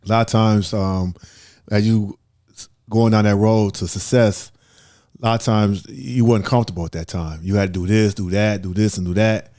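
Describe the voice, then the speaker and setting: A middle-aged man with a lowish voice talks casually and reflectively. He often repeats short phrases as he makes his point. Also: steady tone, normal speed, warm tone. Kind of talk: casual conversation.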